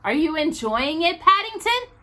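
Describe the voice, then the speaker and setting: A woman's voice: extremely high pitch, very animated, moderate pace, pushed and bright, voice pushed up, heavily acted. A woman voicing a mild, reassuring married man in a storybook, waving away fuss. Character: easygoing, reassuring married man, father of family.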